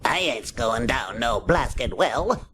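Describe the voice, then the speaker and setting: A man's voice: medium pitch, lively intonation, slow, pushed and bright, slight background noise, voice pushed up. A man voicing a scrappy, plucky cartoon sailor in a storybook reading, muttering in ungrammatical salty talk. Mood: indignant.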